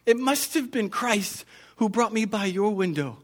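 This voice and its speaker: Guy voicing a poor young mom, soft and high, slow and teary. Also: expressive. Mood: grateful. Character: poor young mother, grateful and tearful.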